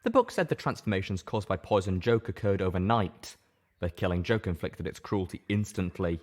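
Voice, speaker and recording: A man's voice: sits low, expressive, normal speed. A man reading scripted first-person fiction aloud as an audiobook narrator.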